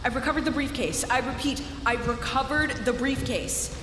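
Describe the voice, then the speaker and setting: A woman's voice: sits high, moderate pace, noisy background, natural voice. A woman playing a blunt, foul-mouthed, commanding special agent on a mission in a video game cutscene. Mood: urgent, weary. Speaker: field operative. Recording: echoey hall reverb, music behind.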